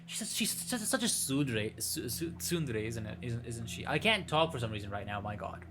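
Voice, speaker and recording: A man doing a casual game playthrough, reading the story text aloud in a halting way, stumbling over words. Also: mid-range voice, animated, music in the background. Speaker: streamer playing a visual novel.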